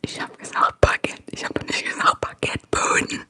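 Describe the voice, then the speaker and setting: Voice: moderate pace, sharp tone, no echo, natural voice. A German ASMR streamer voicing a meme figure with a Saxon accent, repeating the same insistent line in a whisper. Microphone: close microphone.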